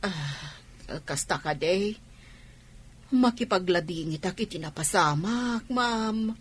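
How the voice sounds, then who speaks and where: A woman's voice: on the low side, lively intonation, takes it slowly, slightly rough, exaggerated voice. A woman playing a respectful, concerned middle-aged housekeeper in a radio drama, with a Filipino accent and a hesitant manner. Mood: sympathetic. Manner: deferential, hesitant.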